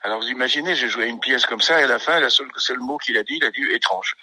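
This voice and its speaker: An older man from Italy, a pianist, reminiscing in a radio interview, halting and searching for words with many fillers. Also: mid-range voice, sharp tone, breathy edge, dry recording.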